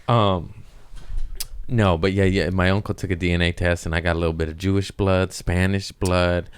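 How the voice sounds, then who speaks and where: Low-pitched man riffing on a podcast. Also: little pitch movement, normal speed, clean tone, no echo. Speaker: comedy podcast host. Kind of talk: casual joking podcast chat.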